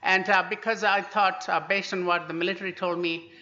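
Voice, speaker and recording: A man's voice: high voice, level tone, brisk, pushed and bright. A man, an international relations professor giving a book talk, telling anecdotes with frequent "uh" fillers. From India. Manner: hesitant with many "uh" fillers, anecdotal. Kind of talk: lecture-style book talk. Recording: dull lo-fi recording.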